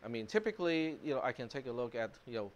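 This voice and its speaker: Relaxed man lecturing, Cantonese first language. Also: mid-range voice, fast, lo-fi sound. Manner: relaxed, chatty, lots of fillers, chuckles. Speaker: university computer science lecturer. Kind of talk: live classroom lecture. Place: classroom.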